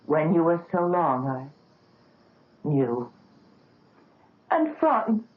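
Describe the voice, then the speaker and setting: A woman's voice: low-pitched, very animated, very slow, exaggerated voice. A woman acting a doctor's grieving young daughter, earnest and emotional, in a 1970s radio drama. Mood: stricken. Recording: tinny vintage radio broadcast.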